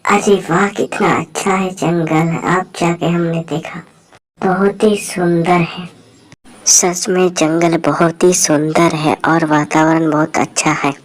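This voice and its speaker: A woman's voice: on the low side, level tone, slow, rough recording. A woman voicing friendly, chatty young women in a cartoon story, speaking informally. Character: friendly, egalitarian young women on a forest outing.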